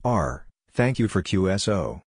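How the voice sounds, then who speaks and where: A man reads short scripted amateur radio phrases as a drill narrator, in a clipped, deliberate, phrase-by-phrase delivery. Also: low-pitched, big pitch swings, husky, dry recording, clean studio sound. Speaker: narrator of a Morse code practice drill.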